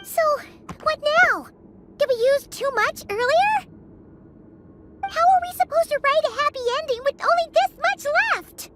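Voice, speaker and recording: Squeaky, tiny childlike fairy companion. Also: female voice, animated, a little slow, very noisy. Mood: puzzled, frustrated. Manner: exclamatory, questioning. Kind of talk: video game voice acting.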